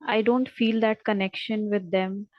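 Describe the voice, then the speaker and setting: A woman taking part in an online workshop, sharing personally in a halting, hesitant way as she searches for words. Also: medium pitch, fairly even intonation, no room sound, muffled recording. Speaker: workshop participant. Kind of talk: unscripted personal sharing on a call.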